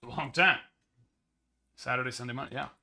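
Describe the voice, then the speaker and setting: A man hosting a sports card break livestream, chatting casually with viewers in a slightly hoarse voice. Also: fairly quick, pushed and bright. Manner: chatty, rambling, upbeat sales pitches.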